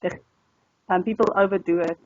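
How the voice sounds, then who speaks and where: A woman's voice: lowish voice, fairly even intonation, brisk, lo-fi sound, slight background noise. A woman from South Africa whose first language is Afrikaans, talking casually as a language tutor in an interview, with an Afrikaans accent and frequent laughs and restarts. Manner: chatty, laughs often, many fillers and restarts.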